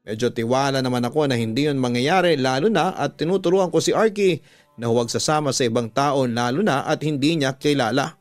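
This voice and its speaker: A man with a Filipino accent narrating a scripted story as a radio host, measured and deliberate, drawing out the ends of phrases. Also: fairly high pitch, no echo. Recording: background music under a dry studio voice. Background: music.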